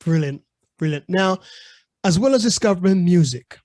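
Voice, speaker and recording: A man's voice: high voice, expressive, moderate pace, a little mellow, no echo, clean studio sound. A man hosting a music interview show, enthusiastic, saying "you know" over and over. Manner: enthusiastic, repeats "you know", says "brilliant". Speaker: radio show host.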